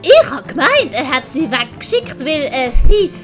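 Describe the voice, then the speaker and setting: A woman voicing an excitable, blurting sergeant in a comic storybook. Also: high-pitched, moderate pace, faint music behind, pitched above the performer's own voice. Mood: astonished. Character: excitable, blunt, eager sergeant in a comic tale.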